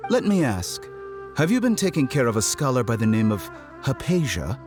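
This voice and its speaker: A man's voice: medium pitch, big pitch swings, lightly acted. An actor playing an arrogant male doctor and scholar in a video game, mock-polite and condescending, drawing out his words for menace. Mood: polite, probing. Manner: theatrical, mock-polite, drawn-out emphasis. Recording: music behind the voice.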